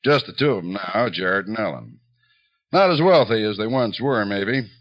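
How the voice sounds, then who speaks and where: Actor as low-voiced folksy small-town police chief. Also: male voice, lively intonation, close to an everyday voice. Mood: easygoing, reflective. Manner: folksy, unhurried, colloquial. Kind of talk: 1950s radio drama acting.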